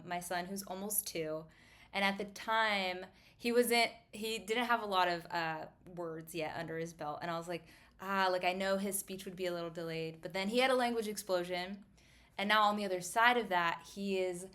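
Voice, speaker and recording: A woman's voice: fairly low pitch, fairly bright tone. Enthusiastic, casual woman interviewer. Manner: enthusiastic, peppers talk with "like". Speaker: interview host. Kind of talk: casual chat.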